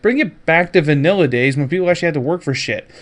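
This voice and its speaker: A man's voice: a little high, animated, fast. A man hosting a podcast, giving casual, opinionated gaming news commentary with mocking asides and swearing. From Canada. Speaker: podcast host.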